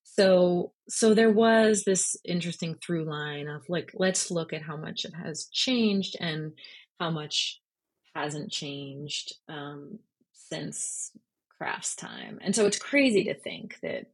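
A woman's voice: on the low side, slightly rough. A woman speaking as an interview guest, in a conversational and reflective style. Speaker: interview guest, freelance journalist.

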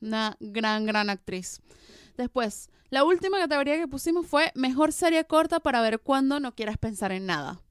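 A woman with a Venezuelan Spanish accent, co-hosting a casual chat about TV series with an animated, laughing delivery. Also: high voice, moderate pace, clean tone, no echo, studio quality. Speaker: podcast co-host.

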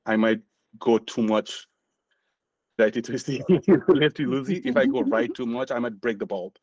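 A man's voice: mid-range voice, moderate pace, a little mellow, no room sound, muffled recording. A man in his forties from Nepal, a physician telling his story in a casual interview, earnest and self-deprecating. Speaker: guest physician.

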